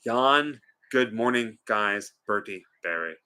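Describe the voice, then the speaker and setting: Bright, forceful joking man on a livestream. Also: on the low side, expressive, takes it slowly, clean tone. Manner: joking, playfully teasing. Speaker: live stream co-host. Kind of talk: casual chat, reading out viewers' comments.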